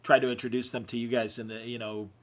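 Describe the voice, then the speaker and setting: A man's voice: medium pitch, flat delivery, fast, fairly bright tone, slight background noise. A man hosting a podcast in casual conversation, telling anecdotes with enthusiasm. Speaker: podcast host. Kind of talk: casual chat.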